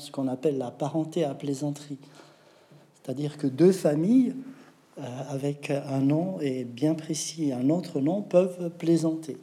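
A man who writes novels, answering interview questions in a reflective, hesitant way with many pauses. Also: a little high, warm tone.

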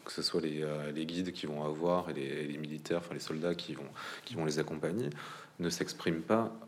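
A man's voice: very deep voice, brisk, a little hiss or hum. A male interviewer asking long, winding questions full of fillers and restarts.

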